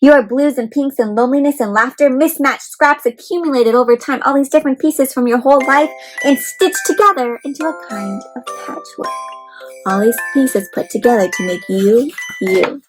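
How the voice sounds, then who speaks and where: Lady with a highish voice reading a picture book to little kids, expressive, teachery, asks them stuff. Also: normal speed, over music, poor audio. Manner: expressive, addresses child listeners with questions and simple explanations. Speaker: children's read-aloud host and teacher. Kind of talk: interactive picture book read-aloud for young children.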